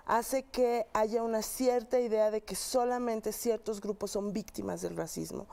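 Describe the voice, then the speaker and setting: A woman's voice: mid-range voice, creaky, dark tone. A woman with a Mexican accent answering as a research guest in an interview, explaining thoughtfully and often checking with short tag questions.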